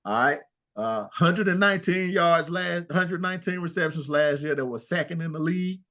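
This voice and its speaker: An older man co-hosting a casual sports talk show, animated and trash-talking as he rattles off stats. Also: fairly high pitch, moderate pace, muffled recording. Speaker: co-host.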